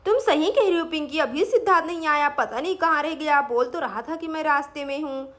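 A doting village mother, acted by a woman, talks in a very high voice. She is cheerful, chatty and encouraging, in the manner of a children's doll tale. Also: fairly quick, a bit bright.

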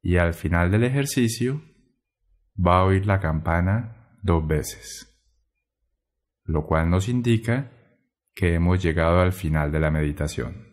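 A man guiding a meditation in a gentle, measured way, pausing between short phrases. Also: low-pitched, expressive. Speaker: meditation guide. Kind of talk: guided meditation narration.

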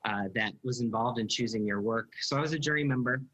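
A man's voice: medium pitch, quick pace, a bit bright, low-quality recording. A man in his thirties with an American accent, chattily moderating a panel over a video call. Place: indoor room at home on a video call. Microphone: computer video call.

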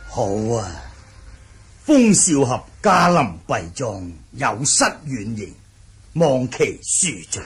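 A man's voice: mid-range voice, sing-song, slightly rough, soft background music, exaggerated voice. A man acting a refined, courteous old recluse in a Cantonese radio drama, formal, humble and given to sighs. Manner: slow, sighing, formal and humble. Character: refined elderly reclusive manor master, courteous, music-loving.

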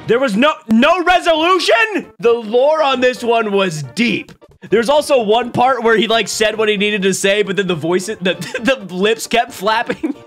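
A young man streaming from a small room at home, his voice high and bright. He jokes energetically, laughs often, and his pitch jumps around a lot. A desk mic sits close to him, with faint music behind. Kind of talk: casual live-stream commentary. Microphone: desk mic on boom arm.

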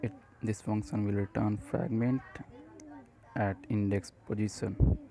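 Slow, low-voiced man narrating a tutorial. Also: flat delivery, mellow. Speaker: programming tutorial presenter. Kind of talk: step-by-step screen tutorial narration.

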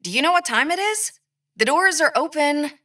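A woman's voice: high-pitched, lively intonation, moderate pace, sharp tone, no room sound, clean studio sound. A young woman playing a stern, irritated night security guard in a horror video game, exasperated and sometimes sing-song when coaxing. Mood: condescending.

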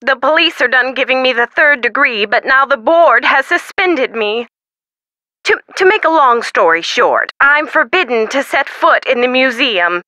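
An actress playing a brisk, wry, harried middle-aged museum curator in a video game, with a theatrical, sarcastic delivery. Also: female voice, high voice, expressive, sharp tone, no echo. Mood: exasperated. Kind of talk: scripted video game dialogue.

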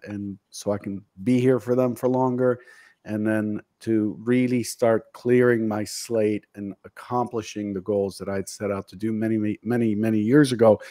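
Mellow-voiced man in his fifties hosting. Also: on the low side. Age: 50s. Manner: reflective, earnest, self-deprecating. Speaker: host. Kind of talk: casual video-call panel chat. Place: home office. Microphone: studio mic on video call.

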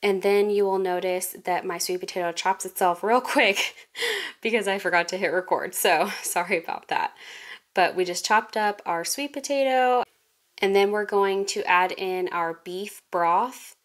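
A woman's voice: medium pitch, measured pace. Chatty young woman cooking at home. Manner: chatty and relaxed, laughs at her own slips. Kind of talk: casual cook-along narration. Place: home kitchen. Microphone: phone camera over the counter.

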